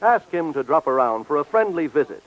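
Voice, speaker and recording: A man's voice: on the high side, big pitch swings, brisk. A man announcing in a formal, polished, scripted 1940s radio style as a sponsor's spokesman. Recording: dull old radio recording.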